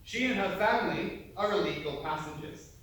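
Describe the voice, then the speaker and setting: An actor playing an authoritative officer on an emigrant ship in a stage play, formal and commanding. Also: male voice, sits high, animated, moderate pace, fairly bright tone. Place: theatre stage, picked up from afar. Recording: echoey hall, distant mic, faint noise.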